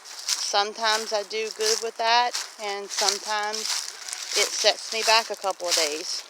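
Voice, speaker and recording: Unhurried middle-aged woman over loud background noise. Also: mid-range voice, steady tone. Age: about 50. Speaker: homestead vlog host. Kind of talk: casual walk-and-talk vlog. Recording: loud outdoor noise, clicks, honking geese.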